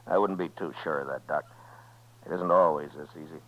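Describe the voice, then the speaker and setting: Deep-voiced, laconic American frontier marshal. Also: male voice, fairly even intonation, normal speed, a bit bright. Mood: wry, tired. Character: steady, wry Old West US marshal, adult man. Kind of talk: 1950s radio western acting with first-person narration. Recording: muffled 1950s radio recording with music.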